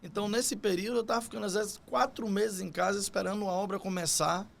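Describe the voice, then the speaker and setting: A man's voice: high voice, fairly even intonation, fast talker, vocal fry. A man from Salvador, Brazil, with a Bahian accent, telling his story conversationally as an interview guest. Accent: Bahian Brazilian Portuguese. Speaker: podcast guest, construction business owner.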